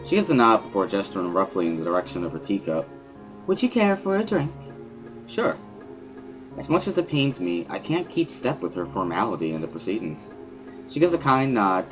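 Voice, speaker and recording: A man with a low voice narrates a visual novel in the first person. He reads it as a dramatic story, with soft music playing underneath. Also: low-quality recording.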